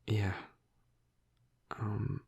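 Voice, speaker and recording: A man playing a flirty, protective vampire of high rank in an intimate audio roleplay, speaking softly and hesitantly in a breathy, gravelly half-whisper. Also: flat delivery, heavily acted. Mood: gentle, explaining. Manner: slow, hesitant, soft, soothing. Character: flirty, protective male vampire, high-ranking in his clan.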